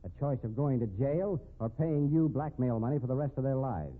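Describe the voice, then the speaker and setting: A man's voice: medium pitch, animated, brisk, lightly acted. An actor playing a confident, tough man cornering a crook in a 1950s radio drama, sardonic and hard-boiled. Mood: stern, accusing. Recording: narrow phone-like old radio recording.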